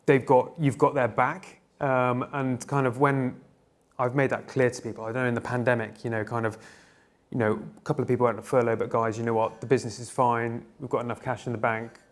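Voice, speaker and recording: A company founder, a man, answering panel questions in a relaxed, conversational way, full of fillers and restarts. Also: mid-range voice, a little fast. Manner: relaxed, filler-heavy, repeats "kind of". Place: conference stage.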